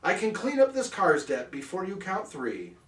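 Mischievous storybook cat, played by a man: breezy, boastful, high-pitched, recorded in a roomy space. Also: wildly swooping pitch, normal speed, fairly bright tone, exaggerated voice. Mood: smug confidence. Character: gleeful, mischievous trickster cat from a frat house. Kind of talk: rhyming storybook parody. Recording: roomy, slightly distant microphone.

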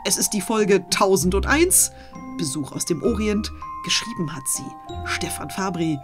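A woman's voice: medium pitch, lively intonation, normal speed. A middle-aged woman reading scripted storybook narration as a storyteller, close to the microphone. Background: music.

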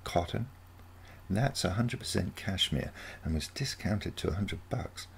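A man's voice: low-pitched, lively intonation, measured pace, gravelly, a little hiss or hum, exaggerated voice. A man voicing a genteel, haughty grandmother with a wry, sarcastic manner in a storybook reading. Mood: disgusted.

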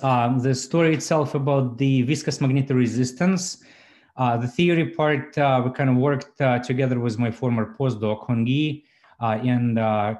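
A man's voice: medium pitch, darkish tone. A man in his forties giving a physics seminar talk over a video call from home, hesitant with frequent fillers and restarts. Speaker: physics lecturer. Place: home room indoors. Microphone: laptop webcam on video call.

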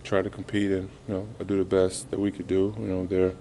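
A man in his thirties answering reporters after a game, halting and subdued, with many fillers. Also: low voice, completely flat delivery, warm tone, slight background noise, rough recording. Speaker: basketball player at a postgame press conference. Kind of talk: postgame press interview. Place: arena press room, indoors. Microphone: reporters' handheld mics held close.

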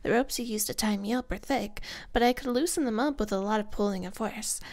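A woman playing an easygoing, playful young kidnap captive who loves gaming, speaking softly and casually in an ASMR roleplay audio drama. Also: on the high side, a little fast, lightly acted. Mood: casual, breezy. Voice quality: soft. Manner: casual, teasing, conversational.

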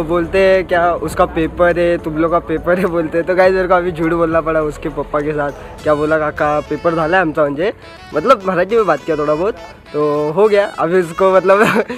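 A young man talking into a phone held at arm's length beside an outdoor road. His voice sits a little high, and he rambles along, circling back with fillers and restarts. Music plays clearly behind him. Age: young adult. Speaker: vlogger. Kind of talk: casual travel vlog. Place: outdoors by a roadside.

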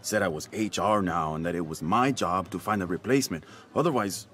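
A middle-aged man playing an anxious, grateful working-class village father in a video game cutscene, speaking in a halting, earnest way. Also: sits low, fairly bright tone. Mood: worried.

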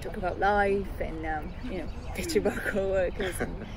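A woman's voice: mid-range voice, steady tone, normal speed, crowd noise, lightly acted. A woman playing an awkward, apologetic young woman in naturalistic drama, stammering and repeating herself. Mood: wistful, uneasy. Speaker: actor in an immersive theatre audio piece. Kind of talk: naturalistic dialogue acting. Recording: noisy outdoor background with traffic.

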